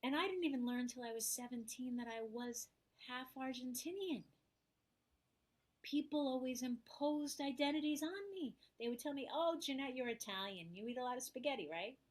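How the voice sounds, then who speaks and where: A middle-aged woman with a New York accent, speaking as an interview guest about her own life. She tells her story in an animated, emotional way and acts out the voices of the people she quotes. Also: high voice, moderate pace. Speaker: guest, therapist.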